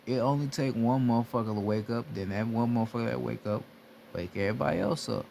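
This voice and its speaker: A man's voice: medium pitch, quick pace, gravelly, darkish tone, a little hiss or hum. A man giving a casual spiritual talk, blunt and repetitive, swearing freely as he urges listeners to wake up. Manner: blunt, repetitive, heavy profanity. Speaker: spiritual teacher. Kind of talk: casual motivational monologue.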